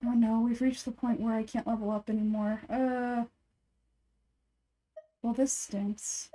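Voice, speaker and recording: A woman's voice: on the high side, little pitch movement, a little slow, dark tone, poor audio. A woman giving casual, off-the-cuff commentary while playing a video game. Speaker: gaming video creator.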